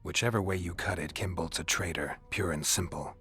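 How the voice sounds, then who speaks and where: An actor playing a stoic monster hunter in a video game, with an American accent and a gravelly, deadpan, terse delivery. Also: male voice, brisk, heavily acted. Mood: matter-of-fact, wry. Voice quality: low gravelly growl, breathy at phrase ends. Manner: deadpan, terse, dryly sardonic. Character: gruff, stoic male monster hunter for hire, dry and blunt.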